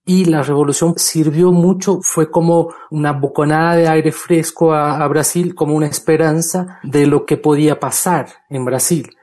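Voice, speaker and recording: A man's voice: fairly high pitch, normal speed, hardly any pauses. A Brazilian man in his forties, a native Portuguese speaker and journalist, talking steadily and thoughtfully as an interview guest over a remote connection. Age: 40s. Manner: thoughtful, steady, with small restarts and fillers. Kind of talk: interview conversation.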